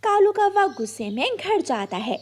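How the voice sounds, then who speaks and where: A woman narrating a scripted children's animated story. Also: extremely high pitch, moderate pace.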